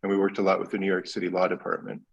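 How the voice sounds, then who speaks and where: A man with an American accent speaks as a panel guest in a candid, relaxed way. His voice is low and a little husky. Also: racing, dull audio. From United States. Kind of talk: conversational career storytelling.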